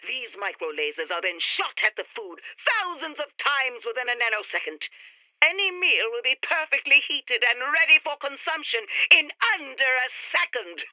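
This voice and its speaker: A coolly ambitious alien scientist from a retro radio serial. The delivery is formal, precise and self-important, in a piercingly bright, lively voice with a dry, close sound. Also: female voice, sits high, normal speed, no room sound, full character voice, rough recording. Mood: proud, insistent. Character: self-assured, ambitious female alien inventor prodigy, coolly scheming. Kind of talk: retro sci-fi radio play acting.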